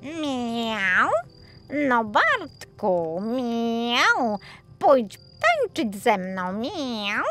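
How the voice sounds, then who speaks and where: A woman's voice: fairly high pitch, big pitch swings, very slow, pushed and bright, faint music behind, voice pushed up, heavily acted. A woman voicing a gracious, bossy little talking tomcat in a fairy tale, coaxing and commanding with a meowing drawl. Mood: bossy, playful.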